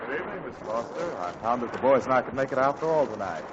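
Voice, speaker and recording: An actor playing a suave, famous adult bandleader in a 1940s radio comedy. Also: male voice, mid-range voice, expressive, fast, natural voice. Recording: muffled old radio broadcast with hiss.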